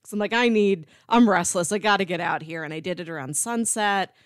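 A woman's voice: fairly low pitch, a little fast, no room sound, clean studio sound. A woman in her thirties co-hosting a podcast, talking casually about movies. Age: 30s. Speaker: podcast co-host.

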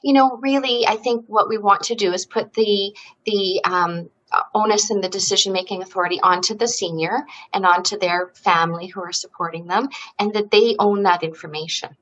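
A woman from Canada explains her field fluently and earnestly. She speaks at a middle pitch as an interview guest, with only the odd filler. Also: steady tone, a little fast, a bit bright, poor audio. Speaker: guest, senior care advisory service founder. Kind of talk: interview answers.

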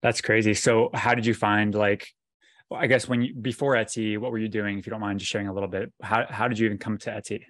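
A man's voice: lowish voice, level tone, fast talker, a bit bright, dry recording. Casual male podcast host, dry close sound. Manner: informal, rambling questions. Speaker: podcast host interviewing a guest. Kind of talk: casual interview chat.

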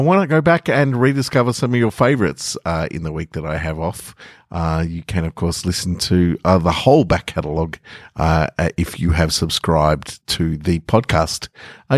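An Australian man hosting a short-story podcast and reading fiction in an unhurried, informal way. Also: sits low, no room sound, studio quality. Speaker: podcast host and story reader. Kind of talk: casual podcast talk and narrated short fiction.

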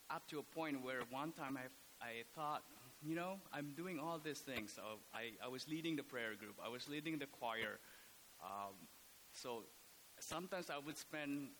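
Priest from indonesia, bright voice, lots of ums and restarts, faint hiss. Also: male voice, medium pitch, rough recording. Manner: halting, many fillers and restarts. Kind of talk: casual podcast talk.

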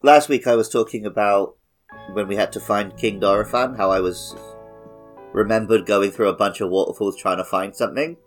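A man's voice: fairly low pitch, steady tone, normal speed, faint music behind. A man co-hosting a podcast, explaining things in a casual, conversational way. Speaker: podcast host.

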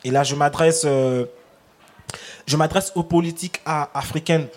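A fairly high-pitched man from Congo with a Congolese accent, whose first language is Lari. He is a slam poet who talks emphatically, circling back and repeating words to drive each point home. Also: steady tone, normal speed. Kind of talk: slam recital and explanatory talk.

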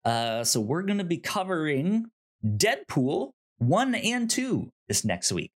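A man co-hosting a review podcast in casual chat, with a halting, thinking-aloud delivery. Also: on the high side, big pitch swings. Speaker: podcast co-host.

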